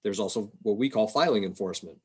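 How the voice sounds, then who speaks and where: Low-voiced man presenting, a bit halting. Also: darkish tone, slightly rough. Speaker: state revenue agency policy presenter. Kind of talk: informal slide presentation on a conference call. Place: indoors, on a video call. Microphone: video call microphone.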